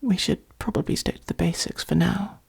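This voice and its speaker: A gentle, protective vampire played by a woman speaks in a soft, husky whisper, thick with vocal fry. The tone is mellow and patient, soothing and reassuring in the manner of a comforting ASMR roleplay. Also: fairly low pitch, moderate pace, full character voice. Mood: patient explaining. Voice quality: breathy, creaky. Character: gentle, protective adult vampire caring for a fledgling.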